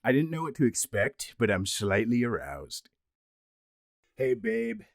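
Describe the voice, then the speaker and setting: A man's voice: medium pitch, big pitch swings, measured pace, no echo, clean studio sound. A man voicing an abrasive, sarcastic teenage boy in an amateur webcomic dub, snide and grumbling. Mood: wry, reluctant. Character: abrasive sarcastic teenage boy, anonymous 4chan website personified.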